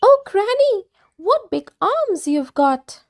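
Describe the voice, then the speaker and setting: A woman voicing a sweet, eager little girl in a storybook reading, with high, breathless cries. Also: very animated, slow, heavily acted.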